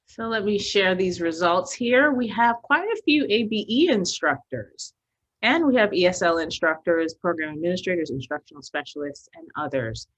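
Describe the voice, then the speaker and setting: A woman's voice: lowish voice, lively intonation, normal speed. A woman acting as webinar moderator, informally welcoming attendees and handing over to a presenter, on dull webinar audio.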